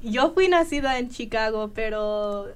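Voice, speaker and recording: A young woman university student from Indiana answering in a casual interview, hesitant with many pauses. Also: a little high, lively intonation, smooth. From Indiana, USA. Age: young adult. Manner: hesitant, many fillers and restarts.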